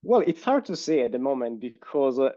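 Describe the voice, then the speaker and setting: A man with an Italian accent answering interview questions in a thoughtful way, pausing often with "uh". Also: medium pitch, sing-song, dark tone, no echo. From Italy. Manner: thoughtful, hesitant with frequent "uh" pauses. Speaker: guest. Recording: slightly dull lo-fi sound.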